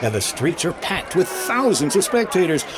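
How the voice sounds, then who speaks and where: An actor playing an excitable, jovial male announcer giving live commentary on a parade in a 1950s-style radio drama. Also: high-pitched, moderate pace, over music. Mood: excited. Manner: chuckling, stammering ad-libs, theatrical announcing. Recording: crowd noise behind the voice. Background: outdoor crowd.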